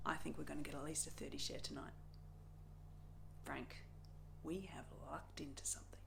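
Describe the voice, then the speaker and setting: A woman's voice: sits low, steady tone, normal speed, gravelly, whispered. A woman performing a modern drama monologue as an ambitious television executive, eager, insistent and urgent. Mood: eager, scheming. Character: ambitious, excitable female television executive pitching hard. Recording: roomy, echoing untreated room.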